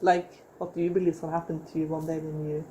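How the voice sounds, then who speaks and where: A woman's voice: low-pitched, flat delivery, rapid-fire, warm tone, a little hiss or hum, low-quality recording. A woman from Norway, co-hosting a casual chat and asking short, curious questions. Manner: inquisitive, asks short questions. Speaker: co-host.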